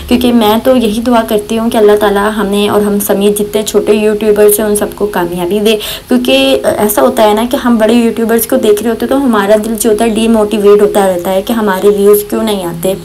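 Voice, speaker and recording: A woman's voice: medium pitch, a little mellow, slight background noise, low-quality recording. A young woman, chatting casually in a voice-over for her home cooking vlog, rambling with many fillers and religious phrases. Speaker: cooking vlogger. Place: home kitchen. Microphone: voice-over recorded separately.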